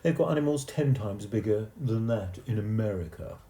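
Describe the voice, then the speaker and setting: A man's voice: fairly low pitch, lively intonation, moderate pace, mellow, reverberant, slight background noise. A man voicing a boastful know-it-all boy who talks in a bragging, scornful way.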